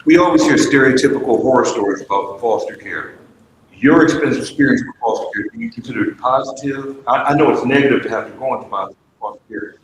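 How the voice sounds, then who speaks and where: A man's voice: medium pitch, fast. A middle-aged man, an educator on a panel, putting questions in a public discussion and restating them as he goes. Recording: very echoey hall, faint noise.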